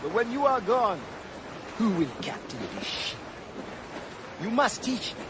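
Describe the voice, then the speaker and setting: A high-voiced, eager rebel leader. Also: male voice, big pitch swings, measured pace, noisy background, heavily acted. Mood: earnest. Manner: earnest, insistent. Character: eager, idealistic male Maroon rebel leader. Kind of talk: video game cutscene acting.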